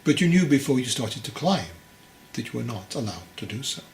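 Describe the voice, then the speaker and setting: A man voicing a venerable old monastery master in a storybook, calm, measured and gently probing. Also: mid-range voice, roomy sound, slight background noise. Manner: measured, questioning, teasingly patient. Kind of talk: storybook character dialogue.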